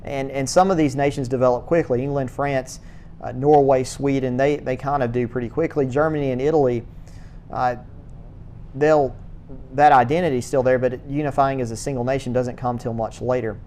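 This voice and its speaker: Halting male church history lecturer. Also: medium pitch, steady tone, warm tone, slight background noise. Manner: halting, repeats words, many uh fillers. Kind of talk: classroom lecture. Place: church classroom.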